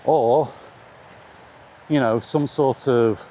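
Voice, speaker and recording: A man's voice: medium pitch, extremely slow, warm tone. Middle-aged man rambling outdoors, background noise. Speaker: video blogger talking about retro games. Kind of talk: casual rambling opinion monologue. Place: outdoors in the rain, walking. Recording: noisy outdoor recording with ambient noise.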